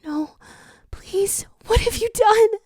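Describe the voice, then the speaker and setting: A woman's voice: very high-pitched, slow pace, a little mellow, dry recording, pitched above the performer's own voice. A woman voicing a frightened young echidna princess in a fantasy story, pleading and tearful. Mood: heartbroken.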